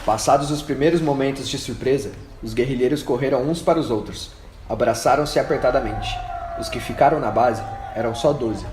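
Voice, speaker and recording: A man's voice: mid-range voice, fairly quick. A man reading scripted narration for an amateur radio drama. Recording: echoey room with faint noise.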